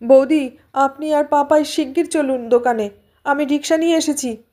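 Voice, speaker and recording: A loyal, distraught man, voiced by a woman storyteller, pleads in an audio story. The voice is pushed high and urgent, begging for haste. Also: steady tone, moderate pace, mellow, close to an everyday voice. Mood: tearful panic.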